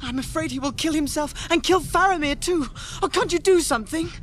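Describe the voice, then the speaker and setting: A man's voice: very high-pitched, brisk, fairly bright tone. A British man playing a frightened, earnest small young fellow in a radio fantasy drama, pleading anxiously. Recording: radio drama, faint background noise.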